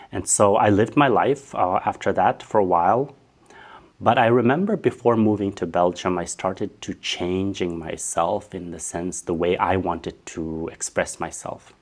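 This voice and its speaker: A man giving a candid personal testimony, telling his story and acting out remembered dialogue. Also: low-pitched. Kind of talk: personal life testimony to camera.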